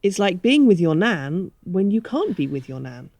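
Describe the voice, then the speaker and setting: Mellow-voiced, officious care manager, played by a woman. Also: on the low side, big pitch swings, normal speed. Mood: questioning. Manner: businesslike, defensive. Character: defensive, officious retirement community manager. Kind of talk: scripted audio drama.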